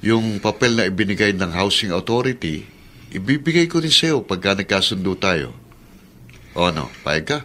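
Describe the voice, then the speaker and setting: An actor playing a firm, matter-of-fact man selling his small house in a radio drama, brisk and unbudging. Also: lowish voice, animated, sharp tone, slight background noise. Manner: brisk, plain-spoken, unbudging.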